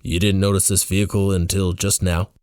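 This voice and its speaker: A man's voice: low-pitched, level tone, a little fast, a little mellow, no room sound, clean studio sound, close to an everyday voice. A man voicing a suspicious, official-sounding male police officer questioning people in an audiobook. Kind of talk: first-person audiobook dialogue.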